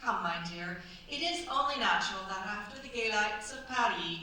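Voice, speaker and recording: A woman's voice: mid-range voice, lively intonation, moderate pace, bright, forceful tone. A woman acting a shrewd, coaxing older French chateau mistress in a staged radio play, with a theatrical, commanding manner. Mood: persuasive. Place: theatre stage at a cultural centre. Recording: very echoey stage, distant mic, faint noise.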